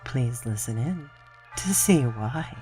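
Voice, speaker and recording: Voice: mid-range voice, animated, slow, warm tone, soft background music. A presenter of unclear sex introducing a horror story in theatrical scripted narration.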